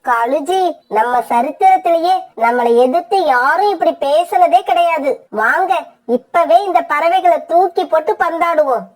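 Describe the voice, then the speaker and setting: A woman's voice: very high-pitched, measured pace. A woman voicing a haughty, bullying female crow with a scornful manner in a children's cartoon. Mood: indignant, threatening. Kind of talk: children's cartoon story dubbing.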